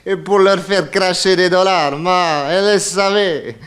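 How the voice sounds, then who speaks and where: An actor playing a chatty, excitable Italian manservant with an Italian accent in a 1960s French radio detective comedy. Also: male voice, sits high, takes it slowly. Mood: chatty, dramatic. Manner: animated, theatrical, chuckling.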